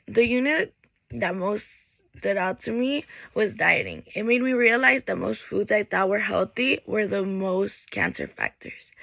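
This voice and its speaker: Creaky bright young woman. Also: medium pitch, no echo, low-quality recording. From Mexico. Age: late teens. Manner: earnest, reads from a script, with small restarts. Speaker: graduating high school student. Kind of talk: reading a prepared graduation speech.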